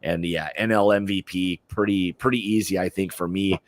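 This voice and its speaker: Casting: American man, low register, opinionated sports show host, informal and slangy, close dry sound. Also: fairly even intonation, normal speed, no echo. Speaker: host of a sports prediction livestream. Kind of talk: casual sports chat.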